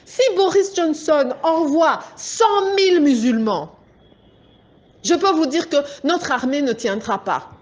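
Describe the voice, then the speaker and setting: A woman activist speaks with a Congolese French accent in a high voice, addressing a group with urgent, insistent force. She repeats her key points and presses her listeners to act. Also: lively intonation, slow. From Democratic Republic of the Congo. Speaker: political activist. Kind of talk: impassioned political address to a group.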